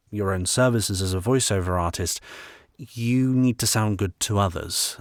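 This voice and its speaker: A man with a fairly low voice gives an informal tutorial in the manner of a working voice-over artist. He explains points emphatically, often repeating a phrase. The sound is dry, with very little room echo. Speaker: voice-over artist giving advice. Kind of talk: instructional talk, informal explainer.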